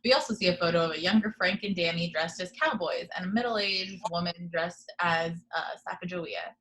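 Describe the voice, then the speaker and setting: A woman's voice: fairly low pitch, fairly quick, a bit bright, rough recording. A woman reading screenplay action descriptions as the narrator of an amateur table read.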